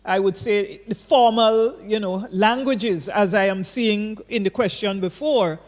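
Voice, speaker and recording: A man's voice: high voice, measured pace, big-room sound. A man moderating an online panel forum over a video call, hesitant as he reads out questions and keeps the speakers to time.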